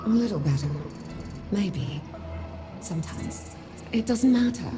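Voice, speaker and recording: An actress as a gentle, poetic woman in a video game drama. She is a patient, weary, loving partner with a soft tender delivery at a middle pitch, and music plays clearly behind her. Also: animated, measured pace, lightly acted. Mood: subdued. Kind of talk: intimate dramatic game dialogue.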